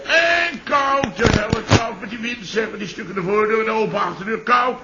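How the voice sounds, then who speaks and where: An actor playing a blustering, indignant middle-aged boss who complains in exclamations, in old radio comedy style. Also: male voice, high voice, fairly quick, heavy background noise. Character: blustering, indignant middle-aged boss grumbling about his holiday cottage. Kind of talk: 1970s Dutch radio comedy acting. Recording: muffled old radio recording.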